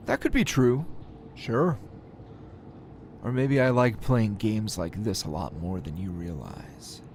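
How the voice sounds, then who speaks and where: Man acting as defiant captured male hero, slow sardonic drawl, taunting, sighs and chuckles, ASMR roleplay, faint background noise. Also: on the low side, animated, darkish tone. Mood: dry, mocking.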